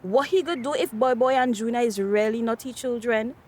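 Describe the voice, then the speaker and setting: An actress playing a chatty, cheeky teenage daughter in a radio drama, with a Tobagonian accent and animated dialect speech. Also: female voice, fairly high pitch, brisk, close to an everyday voice. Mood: gossipy. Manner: animated, dialect-rich gossiping.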